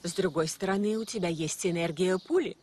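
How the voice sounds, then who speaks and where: A woman voicing a stern, exacting ballet teacher in an animated film, curt and dryly critical. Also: on the low side, fairly quick, slight background noise, natural voice. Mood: scathing.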